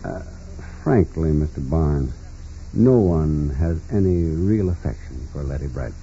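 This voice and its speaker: An actor playing a dignified, formal judge in a 1940s radio drama, speaking in a measured, courteous and hesitant way. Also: male voice, a bass voice, animated, mellow, full character voice. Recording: muffled, noisy 1940s radio recording.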